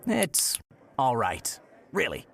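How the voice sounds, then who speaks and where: An actor playing a courteous, curious, old-fashioned gentleman traveler in a period audio drama, with polite and playfully florid speech. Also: mid-range voice, wildly swooping pitch, slow, vocal fry, slightly rough, full character voice. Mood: gentle, frank.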